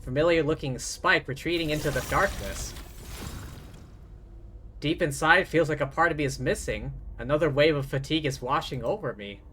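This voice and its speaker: A man's voice: on the high side, normal speed, pushed and bright, a little hiss or hum. A man who streams games, reading dialogue and narration aloud dramatically, with casual asides. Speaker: game streamer. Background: game music.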